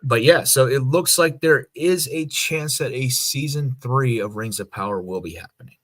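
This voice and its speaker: A man's voice: mid-range voice, normal speed. A man co-hosting a podcast, chatting casually and informally. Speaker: podcast co-host. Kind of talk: casual chat.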